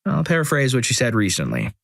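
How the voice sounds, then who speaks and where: A man narrating a compilation of first-person stories in a steady, scripted reading, with dry, wry asides. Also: medium pitch, fast talker, creaky, no echo.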